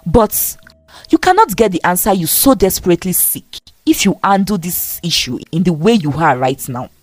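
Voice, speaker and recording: Low, reasoning middle-aged mentor voiced by a woman. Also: very animated, normal speed, no room sound, soft background music. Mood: calm, urging.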